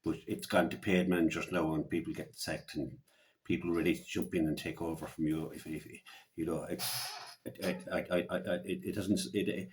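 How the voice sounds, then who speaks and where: Male local radio sports pundit, Irish accent, very low voice, halting off-the-cuff delivery with repeated words. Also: fairly even intonation. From Ireland. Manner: halting, repeats words as he gathers his thoughts. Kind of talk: unscripted sports commentary and opinion.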